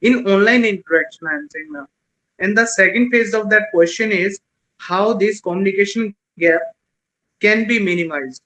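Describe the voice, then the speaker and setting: Bright-voiced man asking a question. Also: sits high, low-quality recording. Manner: halting, searching for words. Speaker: audience member asking a question.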